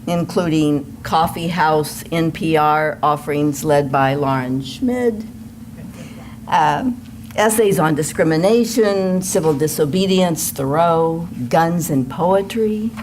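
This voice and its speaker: Woman, low voice, worship associate, measured formal announcements, live service recording, faint room noise. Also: a little mellow. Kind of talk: welcome and announcements to a congregation, partly read.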